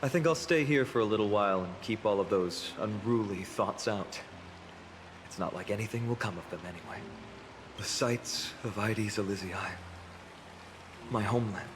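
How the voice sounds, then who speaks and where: A man voicing an earnest, wistful young warrior lord in a video game, reflective and gently wry. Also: on the low side, normal speed, noisy background, natural voice. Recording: long reverb, loud music behind.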